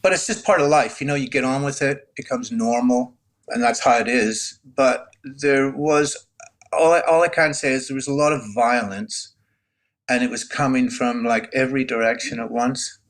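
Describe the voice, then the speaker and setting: A man in his sixties from Northern Ireland, a guest telling his life story in a relaxed, conversational way, full of "you know". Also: medium pitch, normal speed. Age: 60s. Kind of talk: candid storytelling.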